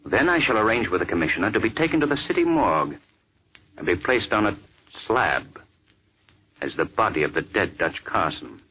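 An actor playing a calm, confident gentleman crime-fighter with a smooth, assured delivery, in 1940s radio acting. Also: fairly low pitch, fairly quick, full character voice, poor audio. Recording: old radio recording with faint hiss.

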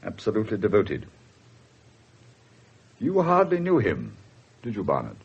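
An actor playing a stiff, formal senior English police official in a radio drama. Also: male voice, fairly low pitch, huge pitch swings, a little slow, vocal fry, husky, heavily acted. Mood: grave, shocked. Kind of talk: 1970s British radio drama acting. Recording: muffled 1970s radio broadcast.

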